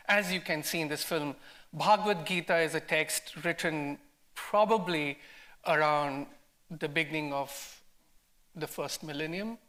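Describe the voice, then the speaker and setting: A man's voice: on the high side, pushed and bright. A man with an Indian accent speaking as a film director in an academic discussion, emphatic and repeating words as he works out his ideas. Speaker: film director, panel guest. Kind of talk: academic post-screening discussion.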